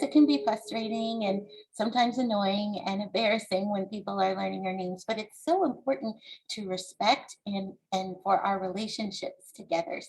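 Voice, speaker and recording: A woman from the United States, an author reading her book aloud and explaining it to young listeners in a deliberate, encouraging, instructive way. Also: medium pitch, vocal fry, low-quality recording.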